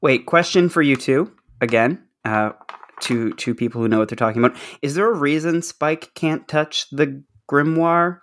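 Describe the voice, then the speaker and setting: A man's voice: fairly high pitch, moderate pace, fairly bright tone, slight background noise. A man co-hosting a podcast in casual chat, informal and given to acting out lines in asides. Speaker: podcast co-host. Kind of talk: casual chat recapping a show.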